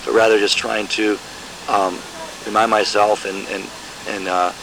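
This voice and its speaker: A man from Michigan, a musician, answering interview questions in a reflective, halting way with many restarts. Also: fairly low pitch, level tone, creaky, noisy background.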